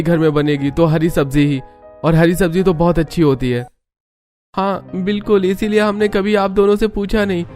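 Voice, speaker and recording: A man's voice: on the high side, darkish tone, natural voice. A man playing a sheepish young husband in a cartoon, earnest and defensive in how he speaks.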